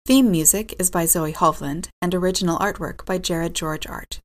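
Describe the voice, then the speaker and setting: Low-pitched woman reading scripted podcast credits. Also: normal speed. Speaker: podcast announcer.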